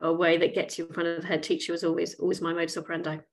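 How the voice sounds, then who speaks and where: A woman's voice: on the low side, completely flat delivery, racing. A woman in her forties answering interview questions thoughtfully and steadily, with occasional fillers. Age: 40s. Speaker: guest, chief executive.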